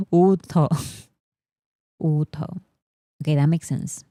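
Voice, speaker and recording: Young woman, spanish native, casual stream chat, low voice, jokey and mock-bragging, dry sound. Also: steady tone, slow, dark tone, no room sound. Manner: playful, teasing, mock-boastful, laughs often. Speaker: host. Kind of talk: casual livestream chat reading comments.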